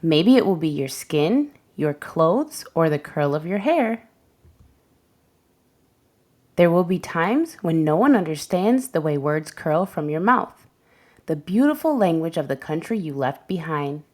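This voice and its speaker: A woman reading a children's picture book aloud as a storyteller, with gentle, deliberate phrasing for young listeners. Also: deep voice, big pitch swings. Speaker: read-aloud host and storyteller.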